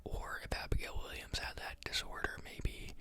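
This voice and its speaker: An ASMR host who teaches, reading a book aloud and then thinking aloud in a soft, unhurried whisper with many pauses and fillers.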